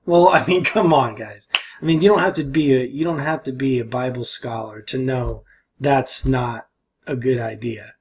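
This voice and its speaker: A man giving casual, disapproving commentary and reading aloud somewhat haltingly. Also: medium pitch, darkish tone, a little hiss or hum.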